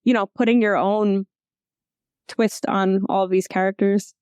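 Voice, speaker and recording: Woman interviewer, clear smooth voice, casual chat, dry close sound. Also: medium pitch, fairly even intonation, a little slow, darkish tone, dry recording. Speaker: interview host.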